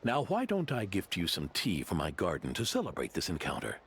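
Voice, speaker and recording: A man's voice: deep voice, wildly swooping pitch, brisk, slight background noise, heavily acted. An actor playing a genial, devout old grandfather who runs a guest house, speaking in a hearty, courteous way in a video game. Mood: warm, welcoming. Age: older. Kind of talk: video game character dialogue.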